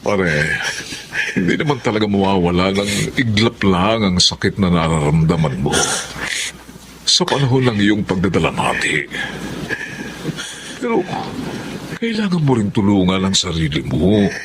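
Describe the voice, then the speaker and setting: A concerned, earnest friend in a radio drama. His mid-pitched voice is emotive and moves widely, with clear ambient noise behind it. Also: big pitch swings, unhurried, long pauses. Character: concerned, earnest older male friend, pleading.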